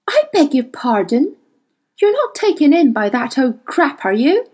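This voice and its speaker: A woman's voice: fairly high pitch, animated, measured pace. A woman reading the part of a pushy, defensive male scientist who argues insistently. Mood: pressing. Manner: pressing, argumentative.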